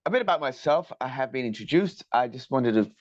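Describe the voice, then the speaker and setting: A man's voice: mid-range voice, lively intonation, no echo. Man lecturing over a dull video call. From Colombia. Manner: measured, polite, academic, with fillers. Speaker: guest lecturer, structural engineer. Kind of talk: online lecture presentation.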